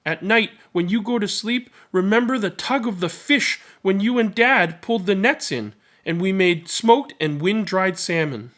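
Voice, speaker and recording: A man voicing a young, protective big sister in a storybook, earnest and gently instructing. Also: high voice, lively intonation, normal speed, few pauses, pitched above the performer's own voice. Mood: earnest, tender.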